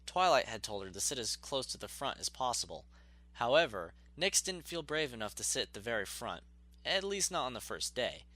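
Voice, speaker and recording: A man's voice: medium pitch, sing-song, brisk, bright, forceful tone. A man narrating a scripted story expressively, in the manner of an audiobook reader.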